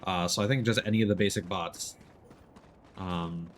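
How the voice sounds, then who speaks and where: A man's voice: low-pitched, fairly even intonation, moderate pace, a little hiss or hum. A man streaming a video game, explaining it casually as he plays in an easygoing way. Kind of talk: casual gameplay commentary, explaining as they play. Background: video game sound.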